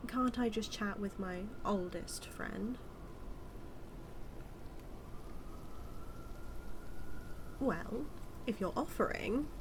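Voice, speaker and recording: A woman's voice: mid-range voice, background noise. A woman with a British accent acting as a smug female supervillain who mocks and teases her hero nemesis in a theatrical, drawling audio roleplay monologue. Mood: smug, teasing. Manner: mocking, theatrical, drawling with drawn-out words.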